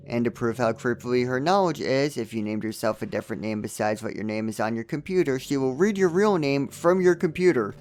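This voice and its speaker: A man's voice: mid-range voice, a little hiss or hum. A man hosting a scripted video game review, narrating in a wry, joking, self-deprecating way with comedic asides.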